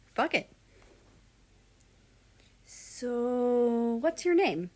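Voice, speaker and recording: A woman's voice: on the high side, sing-song, drawn-out words, voice pushed up, heavily acted. A woman voicing a cocky, sulky young prince from a story, with an indignant, teasing manner.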